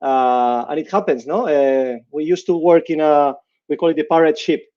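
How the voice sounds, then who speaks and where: A man's voice: a little high, moderate pace, mellow, highs cut off. A Spanish man in his fifties, speaking English with a Spanish accent as a panelist, hesitant and often ending sentences with "no?". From Spain. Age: 50s. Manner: hesitant, repeats words, tags sentences with "no?".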